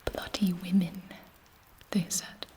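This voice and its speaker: A woman voicing an imperious, scheming vampire queen in a breathy whisper, haughty and seductive, as an ASMR roleplay. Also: fairly low pitch, level tone, warm tone. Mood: haughty, bitter. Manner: slow, haughty, seductive, measured pauses.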